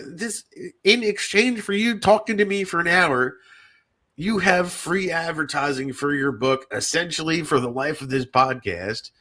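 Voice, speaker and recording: Guy hosting a podcast, bright forceful voice, rambles and swears a lot, pokes fun at himself. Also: medium pitch. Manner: rambling, repetitive, sweary, self-deprecating. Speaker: podcast host and indie fiction writer. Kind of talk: casual podcast chat.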